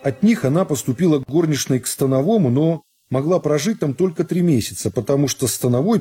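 A man narrating a literary story for a radio drama in a measured, phrase-by-phrase storytelling manner. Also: on the high side, mellow, slightly rough. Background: music.

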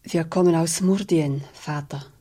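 A woman's voice: low-pitched, measured pace, mellow, breathy edge. An actress playing a poor, pious migrant peasant woman in a German radio play, weary and plain-spoken. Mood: reverent, subdued.

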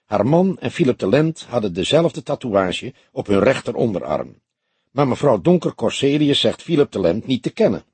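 An older detective, as acted by a male narrator, speaks with a very husky, breathy edge and deliberate care. He is grave and dryly humorous, with lively turns of pitch, as in a crime audiobook. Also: no room sound, heavily acted. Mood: pensive. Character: older police detective, dry, grave, quietly wry. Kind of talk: audiobook character dialogue.

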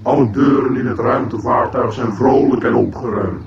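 An actor playing a deeply depressed, self-pitying robot in a radio play, talking in a weary, sarcastic, morose way. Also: male voice, moderate pace, gravelly, reverberant, distant mic, over a noisy crowd, close to an everyday voice. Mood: gloomy, bitter. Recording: muffled, very noisy old radio drama recording.

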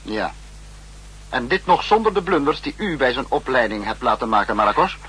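A man's voice: mid-range voice, very animated, moderate pace, fairly bright tone. A man acting a stern, analytical state leader in a 1960s radio drama, speaking in a formal, lecturing manner. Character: stern, analytical spy-state leader reproaching his subordinate. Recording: noisy, humming old radio recording.

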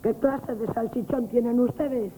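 Woman playing sly elderly shopper, slow, very muffled dark tone, vintage radio-play style, faint noise. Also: on the high side, fairly even intonation, natural voice. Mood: unhurried. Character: short-sighted elderly lady customer, quietly sly. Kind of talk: 1970s radio comic theatre acting. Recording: dull, noisy vintage radio broadcast.

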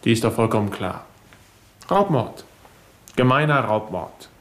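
Formal, self-assured nephew. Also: male voice, mid-range voice, very animated, measured pace. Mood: insistent. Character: self-assured nephew of a murdered old man. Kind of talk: 1970s German-language radio crime drama acting.

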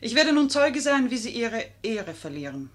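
An actress playing a cunning, proud, flattering lady in a theatrical 1950s German radio play style, fervent and coaxing. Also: on the high side, normal speed. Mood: wounded, dignified.

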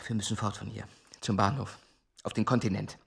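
An actor playing a horrified, scheming lover in a radio drama, speaking in curt, tense commands. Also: male voice, lowish voice, fairly even intonation, a little fast, creaky, breathy edge, full character voice. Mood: urgent, calculating. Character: horrified, wary, scheming male lover giving curt orders.

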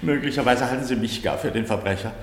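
A man's voice: mid-range voice, fast talker, slightly rough, no room sound. A man playing an eager, suspicious, stubborn amateur detective in a German radio play, speaking with dramatic, insistent emphasis. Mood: ironic.

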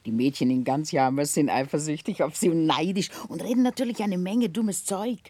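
An actress playing a warm-hearted, motherly middle-aged housekeeper in a 1960s radio play, effusive and chatty. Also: deep voice, fairly quick. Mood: fond, confiding. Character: warm-hearted, emotional older housekeeper, fussing motherly wife. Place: dry radio studio.

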